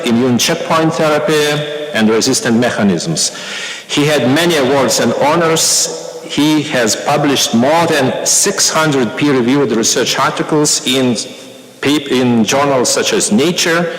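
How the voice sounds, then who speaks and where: A man reads a formal introduction in an even, measured way. His voice sounds roomy, with a long reverb from a big hall. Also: mid-range voice. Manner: formal, reading a biography. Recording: echoey lecture hall.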